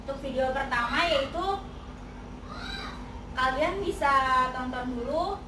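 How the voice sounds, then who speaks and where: A woman's voice: sits high, a little slow. A young woman as an English teacher, explaining a lesson in a chatty way and mixing in English example phrases. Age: young adult. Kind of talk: classroom explanation. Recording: echoey room, distant mic, noisy.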